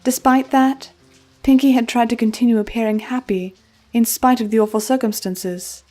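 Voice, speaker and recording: A woman's voice: on the high side, steady tone, normal speed, darkish tone. A woman reading scripted storybook narration as the storyteller, with music playing behind her. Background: background music.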